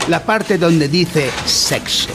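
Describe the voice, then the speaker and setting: A man playing a pompous, didactic lecturer in a satirical educational radio drama, deadpan. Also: fairly high pitch, takes it slowly, noisy background. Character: pompous male lecturer of an absurd mock educational course.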